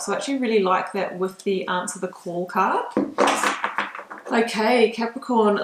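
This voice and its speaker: A woman with a mixed New Zealand accent reads tarot to camera in a soft, reflective way, pausing on ums. Her voice sits at an ordinary middle pitch. The small spare room she records in gives a roomy echo. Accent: mixed New Zealand, with British and Australian traces. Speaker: tarot reader. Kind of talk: intuitive tarot reading to camera.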